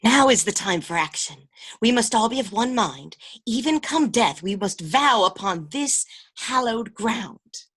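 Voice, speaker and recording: A woman's voice: a little high, animated, measured pace, fairly bright tone, breathy edge, full character voice. A woman voicing an earnest, melodramatic female misfit in a mock-archaic radio play, dramatic and declamatory. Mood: urgent, solemn.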